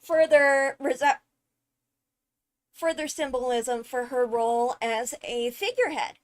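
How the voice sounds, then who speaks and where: A woman streamer giving casual, emphatic analysis of a game video, halting as she thinks through points. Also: high voice. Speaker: streamer, host.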